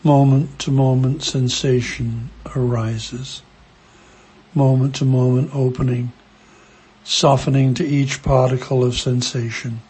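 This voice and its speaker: Slow, husky man guiding meditation. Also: mid-range voice, level tone. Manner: gentle, hushed delivery with long pauses between short phrases. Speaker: meditation guide. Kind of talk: guided meditation.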